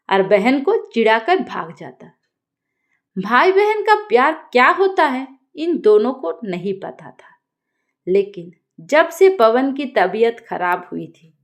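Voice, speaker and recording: A woman narrating a scripted story as a storyteller, in an expressive and deliberate manner. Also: a little high.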